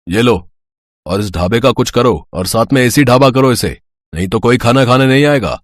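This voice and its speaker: Disgruntled eatery customer, male actor, moral tale; blunt, complaining, average pitch. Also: expressive, moderate pace, no room sound, clean studio sound, close to an everyday voice. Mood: grumbling. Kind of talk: dramatised moral story acting.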